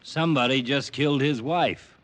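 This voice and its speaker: An actor playing a gruff American police detective in old radio crime drama, curt and deadpan. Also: male voice, medium pitch, moderate pace, natural voice. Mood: curt, commanding. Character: gruff, dry-humoured male police detective in charge. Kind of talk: 1940s radio crime acting.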